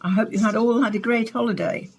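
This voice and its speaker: An actress playing a composed, courteous radio science presenter in a radio play, speaking in a polished, formal way. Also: female voice, a little high, steady tone, fast, darkish tone, breathy edge. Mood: welcoming, composed. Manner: polished, formal, carefully phrased. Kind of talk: scripted radio play, science broadcast delivery.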